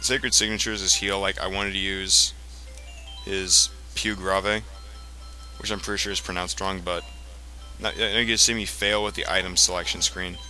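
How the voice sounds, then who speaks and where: A man giving casual, explanatory gameplay commentary in a halting way, as the host of a let's play. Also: low voice, level tone, bright, forceful tone, rough recording. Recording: game music behind the voice. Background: video game music.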